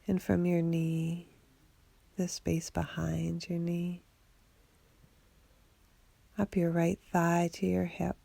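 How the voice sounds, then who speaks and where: A woman guiding a body scan meditation for sleep in a soothing, unhurried, gently instructing way. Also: on the low side, completely flat delivery, vocal fry, no room sound. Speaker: meditation guide.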